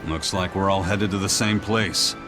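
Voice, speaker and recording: An actor playing a stern pirate first mate in a game, measured and matter-of-fact. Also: male voice, sits low, fairly even intonation, lightly acted. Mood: businesslike. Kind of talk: dramatic game voice acting. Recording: music behind the voice.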